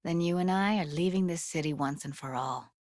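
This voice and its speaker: A woman's voice: fairly low pitch, a little fast, darkish tone, dry recording, clean studio sound, natural voice. An actress playing a young, streetwise female techie in a video game, blunt and guarded but emotional, speaking in casual, clipped, intimate slang. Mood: dry, casual. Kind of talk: naturalistic video game dialogue acting.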